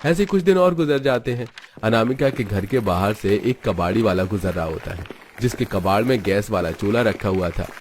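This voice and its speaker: A man's voice: on the low side, lively intonation, normal speed, a little hiss or hum. A man giving scripted narration of a story.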